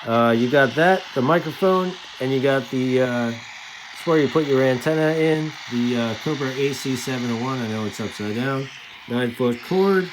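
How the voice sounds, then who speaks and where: A middle-aged man talks like a hobbyist showing off his gear to fellow enthusiasts. His voice sits at an average pitch, and his delivery is informal and halting, in a conversational way. Clear background noise runs behind the voice. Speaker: radio hobbyist presenter. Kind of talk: casual hands-on gear demonstration.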